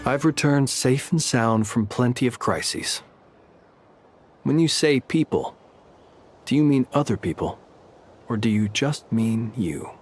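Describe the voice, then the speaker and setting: An actor voicing a calm, teasing male lighthouse keeper in a game, speaking with dry humor and an unhurried manner. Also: mid-range voice, faint music behind, lightly acted. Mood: wry. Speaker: game character.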